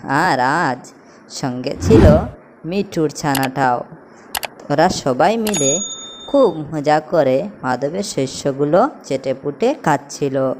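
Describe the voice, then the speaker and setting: A woman's voice: deep voice, animated, takes it slowly. A woman narrating a scripted children's story as a storyteller, over a noisy background. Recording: noticeable background noise, ambience.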